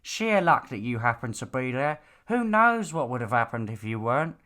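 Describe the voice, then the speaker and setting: A man's voice: mid-range voice, big pitch swings, normal speed, bright, forceful tone. Stern, nagging father, acted by a male reader, clean resonant voice, lecturing, bossy audiobook dialogue. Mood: matter-of-fact. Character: stern, nagging, exasperated father of a demon slayer.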